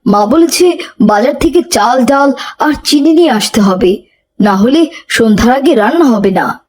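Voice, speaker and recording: A woman's voice: on the high side, animated, unhurried, darkish tone. A woman voicing a dutiful, earnest and polite schoolboy in a cartoon. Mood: polite, hurried. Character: dutiful, polite schoolboy running errands for mother.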